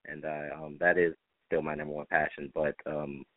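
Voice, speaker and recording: A man's voice: very deep voice, level tone, normal speed, dry recording. A man speaking as a stage director in an interview, explaining things in an earnest, reflective way. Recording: dry, phone-like band-limited line.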